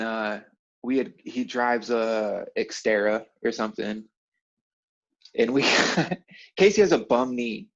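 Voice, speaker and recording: A man in a casual chat, telling anecdotes in slangy, profane language and laughing easily as he goes. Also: on the low side. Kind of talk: casual chat, animated storytelling. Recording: dull lo-fi call audio.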